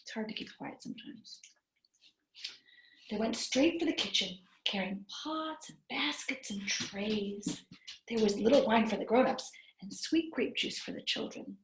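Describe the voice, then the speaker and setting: A woman reading a storybook aloud to young children in an animated, expressive way, breaking off to chat with them. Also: a little high, unhurried. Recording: roomy, echoing livestream sound.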